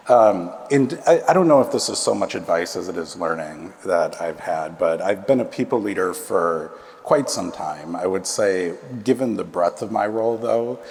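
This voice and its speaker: Deep, gravelly man on a panel. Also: darkish tone. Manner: measured, reflective, with nervous laughs at the start. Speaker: panelist, HR leader. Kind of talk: conference panel remarks. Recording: reverberant hall, faint room noise.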